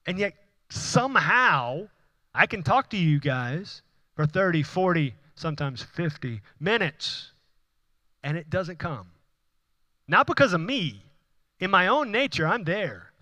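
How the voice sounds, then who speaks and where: Pastor guy preaching hard, bright edgy voice, kinda high, dry close sound. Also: very animated, measured pace, no room sound. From Houston, Texas. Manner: impassioned, repetitive preaching with rising emphatic runs. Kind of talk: sermon. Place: church.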